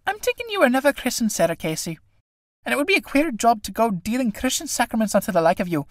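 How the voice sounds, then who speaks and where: A woman's voice: on the high side, very animated, quick pace, no room sound, studio quality, voice pushed up. A woman reading a play aloud and voicing a nervous, evasive male village priest who tries to coax his way out of things. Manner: uneasy, coaxing.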